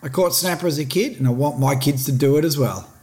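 A middle-aged Australian man talks casually on his fishing podcast. He is blunt and passionate, and his voice sits slightly high for a man. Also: fast, darkish tone. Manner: blunt, passionate, plain-spoken. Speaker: fishing show host.

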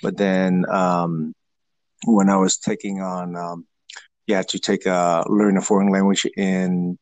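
A man whose first language is Vietnamese, answering interview questions in a halting way with many fillers and restarts. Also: low-pitched, level tone, no echo. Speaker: guest.

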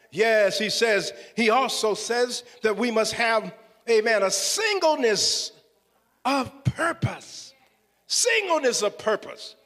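A man's voice: extremely high pitch, pushed and bright. A man preaching a fervent sermon with scripture, exclaiming and repeating phrases for emphasis. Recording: echoey hall with long reverb.

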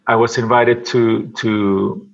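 A man from Venezuela, speaking English as a guest on a podcast, halting and repeating words as he thinks aloud. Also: lowish voice, little pitch movement, gravelly, muffled recording. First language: Spanish. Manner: halting, many repeated words, soft laughs. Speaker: podcast guest, advertising creative. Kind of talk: casual interview chat.

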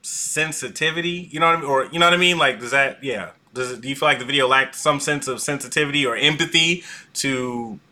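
A man's voice: medium pitch, a little fast, bright, forceful tone. A man hosting a casual live-stream discussion over a video call, talking loosely with many fillers and restarts and laughing often. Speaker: host. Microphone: video call mic.

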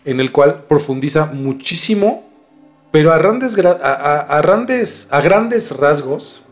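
A man's voice: a little high, lively intonation, takes it slowly, soft background music. A man hosting a mystery podcast in a conversational presenting style. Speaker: podcast host.

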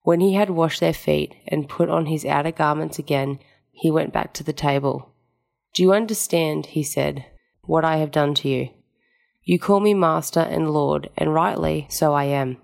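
Woman doing a solemn bible reading, really deep for a lady, lots of vocal fry. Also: moderate pace, darkish tone. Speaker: scripture reader. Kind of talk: solemn scripted Gospel reading.

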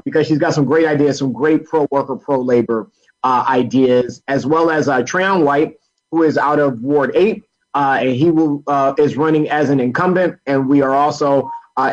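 An American man giving a political interview as a guest advocate, explaining haltingly and repeating words as he goes. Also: on the high side, muffled recording. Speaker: guest, labor council political director.

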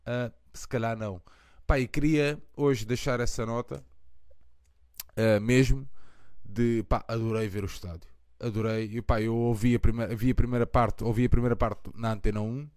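A man in his thirties with a European Portuguese accent hosts a late-night live fan stream. His voice is smooth and resonant, recorded dry and close. He talks with passion, rambles, and leans on fillers. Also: medium pitch, fairly even intonation, normal speed, darkish tone, no echo. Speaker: podcast host of a fan channel. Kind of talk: casual live chat.

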